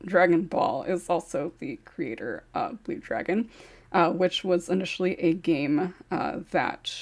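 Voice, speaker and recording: Brief: low-voiced woman script writer, chatty and laughing on a podcast. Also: darkish tone. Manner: chatty, laughs often, many fillers. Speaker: ASMR roleplay script writer. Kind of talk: casual podcast chat.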